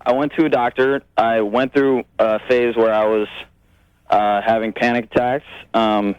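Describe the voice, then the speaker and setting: A young man calling a radio show, talking in an earnest, halting, rambling way about himself. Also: mid-range voice, flat delivery, clean tone, dry recording, highs cut off. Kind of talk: personal call-in conversation.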